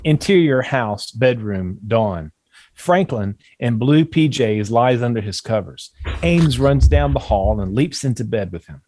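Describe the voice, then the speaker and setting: A man narrates the stage directions of a screenplay. His voice is medium in pitch, with a dry sound free of room echo. The delivery is scripted narration. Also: expressive, a little slow, darkish tone.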